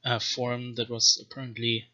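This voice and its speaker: A man giving an informal, scholarly language lecture in a deliberate, measured manner, as a teacher explaining and reading aloud. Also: medium pitch, completely flat delivery, bright, forceful tone. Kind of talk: informal explanatory lecture with slow read-aloud text samples. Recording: dull, lo-fi microphone sound.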